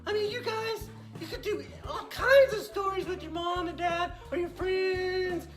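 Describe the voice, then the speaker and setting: A performer voicing an excitable, giggly little girl puppet who hosts a kids' show, gushing and repeating exclamations. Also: extremely high pitch, measured pace. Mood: excited, giggly. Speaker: puppet show host for kids. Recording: roomy echo, faint music.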